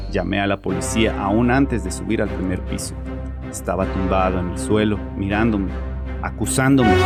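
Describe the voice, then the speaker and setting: A man's voice: fairly low pitch, close to an everyday voice. A man playing a guilt-ridden, haunted father confessing to his therapist in an audio horror drama. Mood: cold, guilty. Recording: music behind the voice.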